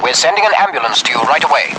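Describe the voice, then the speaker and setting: Clipped, commanding police dispatcher. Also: male voice, on the high side, lively intonation, racing, sharp tone. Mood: brisk, commanding. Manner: clipped, formal, authoritative. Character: brisk, commanding male police radio dispatcher. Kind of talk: old radio drama acting. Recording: noisy vintage radio drama recording.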